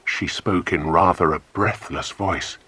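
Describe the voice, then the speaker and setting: Deep, husky male storybook narrator. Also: a little slow, sharp tone, no echo.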